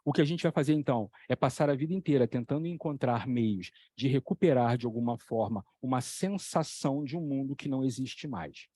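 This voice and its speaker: A man talking as a psychoanalytic lecturer, telling stories with lively examples and repeating key phrases for emphasis. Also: mid-range voice, brisk, few pauses, dry recording. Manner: animated storytelling, acting out voices in the examples. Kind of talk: explanatory talk on a video channel.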